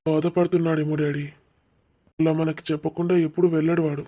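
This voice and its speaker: A man's voice: a little high, little pitch movement, fast talker, warm tone, natural voice. A man playing a troubled, lovesick young son in a cartoon family drama. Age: young adult. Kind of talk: animated cartoon dialogue.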